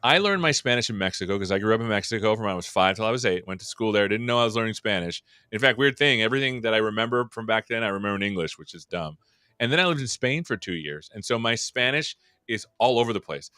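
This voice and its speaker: A man's voice: lowish voice, animated, fast talker, pushed and bright, clean tone, no room sound, studio quality. A man hosting a live tech show, chatting casually and joking as he welcomes viewers. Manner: jokey, rambling and upbeat. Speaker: show host. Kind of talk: casual live-stream chat.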